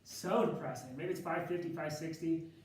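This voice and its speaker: A man teaching a math class with a loud voice, talking casually and thinking aloud with fillers and self-corrections. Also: on the high side, normal speed. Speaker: math instructor. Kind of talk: classroom lecture. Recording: echoey classroom, distant mic.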